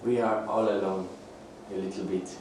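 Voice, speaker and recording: An actor playing an affectionate, melancholy housemate in a radio thriller, speaking in a slow, theatrical way. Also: male voice, sits low, steady tone, background noise, close to an everyday voice. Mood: tender, wistful. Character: melancholy, affectionate young male housemate, gently teasing. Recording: roomy echo, music underneath.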